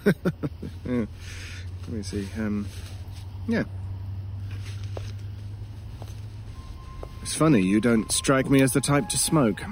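An actor playing a calm male investigator in a radio horror drama, questioning in a measured, deliberate way. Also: mid-range voice, soft background music. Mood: wry. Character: calm, probing male investigator of strange events. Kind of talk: old-time radio horror drama acting.

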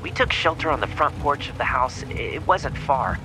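A man's voice: fairly high pitch, expressive, normal speed, over music, close to an everyday voice. A man playing a hesitant, apologetic lost camper on a phone call in a horror audio drama. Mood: apologetic, uneasy. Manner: hesitant, sheepish.

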